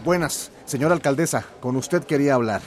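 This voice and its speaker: A man acting a worried, determined farmer in a radio soap, speaking earnestly and gravely. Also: mid-range voice, brisk, lightly acted. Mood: determined, confronting.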